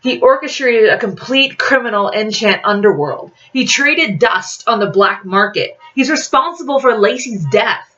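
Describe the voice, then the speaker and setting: Woman as scowling storybook man, indignant, snappish, accusing, animated pitch, dull recording. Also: normal speed. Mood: indignant anger. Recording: dull lo-fi audio.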